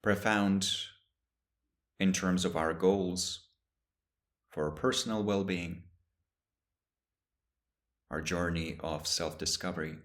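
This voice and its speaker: Man, very deep voice, guided meditation teacher, slow calm phrasing, long pauses.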